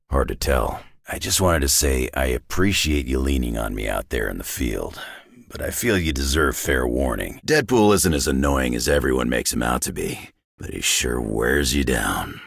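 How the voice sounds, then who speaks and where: A man voicing a gruff, grizzled, wry mutant brawler from a video game, with a gravelly growl and blunt, laconic, folksy delivery. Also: very deep voice, expressive, normal speed, no room sound, heavily acted. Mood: dry, gruff. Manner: blunt, dry, laconic tough-guy delivery with folksy slang. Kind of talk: scripted game character voice lines.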